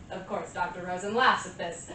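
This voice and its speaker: An actress plays a vain, deluded woman convinced of her own irresistible allure. She speaks in a deliberate, self-adoring stage manner with pauses. The sound is distant and very reverberant, with clear background noise. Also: lowish voice, close to an everyday voice. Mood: grandiose, insistent. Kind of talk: theatrical stage monologue. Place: small live stage venue with audience. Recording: echoey room from far off, noisy.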